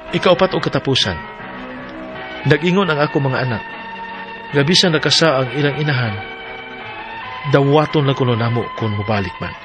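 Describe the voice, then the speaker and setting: A man's voice: mid-range voice, close to an everyday voice. An actor with a Cebuano accent playing a troubled middle-aged husband and father working abroad, reading a plaintive letter for a radio drama. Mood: worried, pleading. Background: background music.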